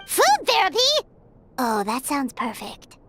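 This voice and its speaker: A woman voicing a small, childlike, excitable fairy companion in a video game, chirpy and eager to help. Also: sits high, measured pace, over music, full character voice. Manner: chirpy, speaks of herself in third person.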